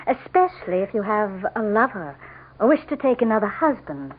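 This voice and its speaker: An actress in an old radio drama playing a sly devil, smugly polite, mocking and theatrical. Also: female voice, medium pitch, very animated, moderate pace. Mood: smug, taunting. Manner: smooth-talking, mocking, theatrical. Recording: tinny, phone-like old radio recording.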